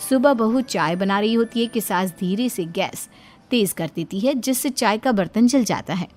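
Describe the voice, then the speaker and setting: A woman's voice: medium pitch, normal speed, a little mellow, faint music behind. Woman narrating a cartoon story.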